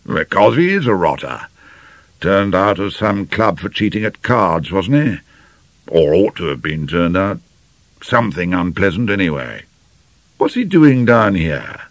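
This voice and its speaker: A man voicing a gruff, suspicious old English general in an audiobook, blustering in clipped fragments. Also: low-pitched, big pitch swings, measured pace, dry recording, full character voice. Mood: disapproving. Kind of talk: audiobook character dialogue.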